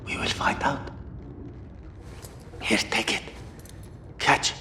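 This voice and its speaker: An actor playing a young captive rebel, a protective and defiant brother who speaks with anxious intensity in a video game. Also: male voice, slow, background noise, lightly acted. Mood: hushed, urgent. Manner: urgent, hushed, intense.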